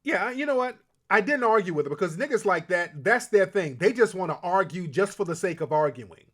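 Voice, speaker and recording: A man hosting a call-in show who lectures in a blunt, emphatic way. His voice sits a little high for a man. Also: animated, brisk, a bit bright. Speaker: host.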